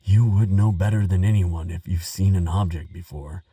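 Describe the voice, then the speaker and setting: A man playing an earnest, excitable archaeologist who talks gently to a friend, stammering and musing with wonder, in a relaxing audio roleplay. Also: low-pitched, moderate pace, breathy edge, no room sound, distant mic. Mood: astonished. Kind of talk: relaxing scripted audio roleplay, spoken to the listener.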